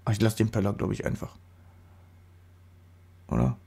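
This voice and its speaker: A man's voice: fairly low pitch, little pitch movement, moderate pace, vocal fry, warm tone, slightly rough. A man with a stuffy nose from a cold, chatting casually through a photo-editing tutorial voice-over, rambling and correcting himself as he goes. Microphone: studio microphone.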